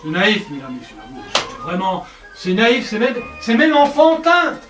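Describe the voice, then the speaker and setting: A naive, grandiloquent stage clown, played by a man, declaims in a very high, theatrical voice. The sound is roomy and comes from far off in a theatre, with music clearly playing under it. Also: very animated, unhurried. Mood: poetic. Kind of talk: live stage comedy act.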